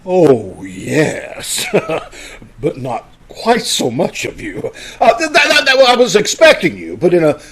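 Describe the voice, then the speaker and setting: A pompous school principal from a radio sitcom, played by a man. His voice sits fairly high and swings widely up and down. He blusters and splutters, a self-important figure who is easily flustered. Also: wildly swooping pitch, slow, fairly bright tone, exaggerated voice. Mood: flustered, smitten. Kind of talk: old-time radio sitcom acting. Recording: amateur video-call radio play recording.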